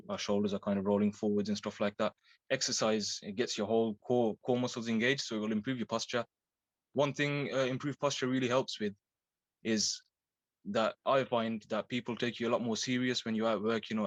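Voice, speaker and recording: A man's voice: lowish voice, no echo, highs cut off. A man of twenty-one who grew up in Belgium, a Thai boxing coach giving an explanatory online talk with fillers and self-corrections. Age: 20s.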